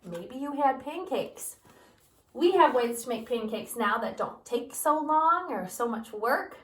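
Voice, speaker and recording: A woman's voice: sits high, unhurried. A woman teacher reading a picture book aloud to her students, asking them questions as she goes. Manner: animated, asks listeners questions. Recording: roomy, from a distance.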